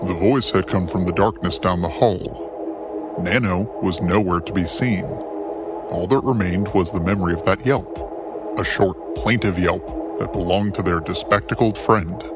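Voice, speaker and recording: A man with a fairly low voice reads scripted fiction as narrator. His delivery is measured and dramatic, with deliberate phrasing. Music plays clearly behind him.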